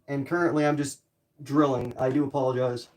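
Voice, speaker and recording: A man's voice: medium pitch, flat delivery, a little fast, a little mellow. A man livestreaming a hands-on build, muttering to himself and chatting casually with a wry, self-deprecating manner. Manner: muttering to himself while working. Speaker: streamer building DIY projects. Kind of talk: casual livestream chat while working. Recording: distant mic with background noise, clicking.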